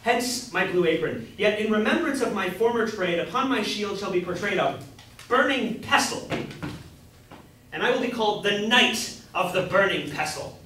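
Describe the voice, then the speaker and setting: A young man acting a grocer's apprentice who plays a grandiose, courtly knight-errant in a staged reading of a Jacobean comedy, declaiming in high-flown style. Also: lively intonation, moderate pace, pushed and bright. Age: young adult. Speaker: student actor. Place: indoor room, far from the mic. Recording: distant, very echoey room with faint noise.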